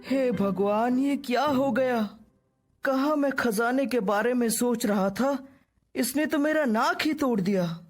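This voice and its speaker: A woman playing a scheming, greedy young son in an animated children's story, with a dramatic, complaining manner. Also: fairly high pitch, measured pace, faint music behind. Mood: whiny, dismayed.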